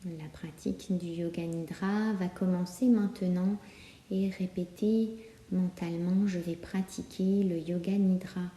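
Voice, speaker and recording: A woman guiding a yoga nidra relaxation, speaking calmly and soothingly with many pauses. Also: lowish voice. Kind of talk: guided relaxation meditation. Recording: roomy, echoing sound.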